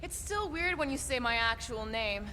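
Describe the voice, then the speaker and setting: An actress playing a tough, practical former assassin in a video game, firm and matter-of-fact. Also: female voice, on the high side, animated, moderate pace, over music, lightly acted. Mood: dry, practical.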